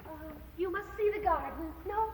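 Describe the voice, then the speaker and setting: A woman's voice: very high-pitched, normal speed. An actress playing a chattering, pretentious widowed landlady in a stage musical, giggly and affected, dropping French phrases. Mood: gushing, flirtatious. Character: gushing pretentious widowed landlady, middle-aged. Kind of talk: stage musical, singing and acted dialogue. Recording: live stage soundboard, echoey theater hall.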